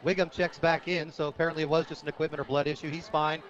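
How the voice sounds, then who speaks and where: High-pitched male football play-by-play announcer. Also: flat delivery, fast. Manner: descriptive, rising to shouts on big plays. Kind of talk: live football commentary. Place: outdoor high school football game. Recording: dull, lo-fi radio broadcast.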